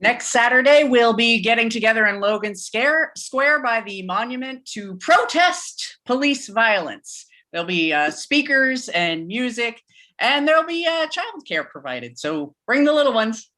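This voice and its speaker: A woman playing a joking, informal church pastor who speaks haltingly in a live staged play reading. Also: a little high, expressive, bright, forceful tone. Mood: cheerful, rambling. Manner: halting, chuckling, informal sermon delivery. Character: Pastor Reggie, rambling, joking, nervously candid church pastor.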